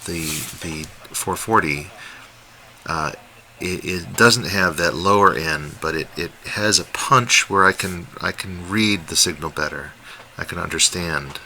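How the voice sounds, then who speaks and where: A man with a low, very bright and forceful voice gives an unscripted product demonstration. He talks haltingly, working out his thoughts aloud, with faint noise behind him. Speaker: host, radio hobbyist reviewer.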